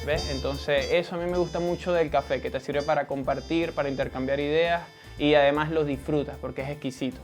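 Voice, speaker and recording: Male barista, measured and reflective, guitar track loud under the voice. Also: fairly high pitch, fairly even intonation. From Venezuela. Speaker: barista interviewee. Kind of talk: on-camera self-introduction. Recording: loud background guitar music.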